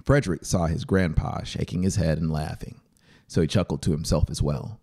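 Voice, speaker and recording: A man in his thirties narrates dramatic fiction in a measured, expressive way, close to the mic. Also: very deep voice. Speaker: audiobook narrator. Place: small brick-walled home studio. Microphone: close handheld-style mic on boom stand. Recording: music playing behind the voice. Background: music.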